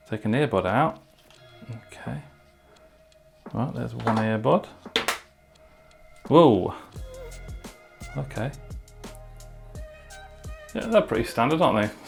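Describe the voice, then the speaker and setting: A man's voice: medium pitch, creaky. A man talking casually through a product unboxing and review in an informal way, with short asides.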